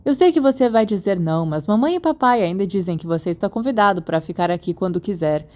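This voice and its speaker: A woman with a Brazilian accent narrates fiction in audiobook style. Her voice sits at a medium pitch for a woman, and she reads from a script. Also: expressive, fast talker, a little mellow. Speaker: podfic narrator.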